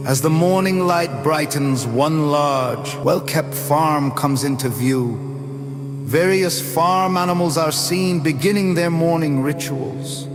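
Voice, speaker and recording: A man reading a screenplay as narrator in a measured, deliberate way, scene by scene. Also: mid-range voice. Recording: long reverb, loud music underneath.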